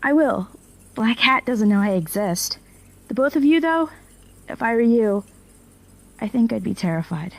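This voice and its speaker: Female informant actress, audio drama: slightly high pitch, loyal, tentative, earnest, understated naturalistic delivery. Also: animated, moderate pace, pauses often. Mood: earnest, concerned.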